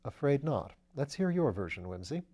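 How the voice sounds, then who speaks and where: Brief: man reading a plain-spoken skeptical detective, mellow voice, storybook style. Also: medium pitch, sing-song, normal speed. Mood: matter-of-fact. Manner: plain, matter-of-fact, skeptical. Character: level-headed male police detective friend. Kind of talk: audiobook character voice.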